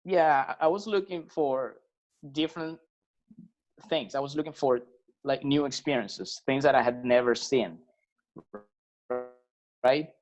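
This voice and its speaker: A man from Venezuela, a musician guest on a podcast, talking in a relaxed, hesitant way with many fillers and restarts. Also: medium pitch, a little slow, pauses often. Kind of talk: casual conversational interview. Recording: slightly dull lo-fi sound.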